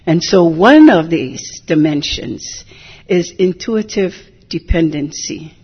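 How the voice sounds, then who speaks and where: An older woman from Hilo, giving a measured, reflective talk as a hula teacher, often drifting into digressions. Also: lowish voice, animated, husky. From Hilo, Hawaii. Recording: dull lo-fi recording.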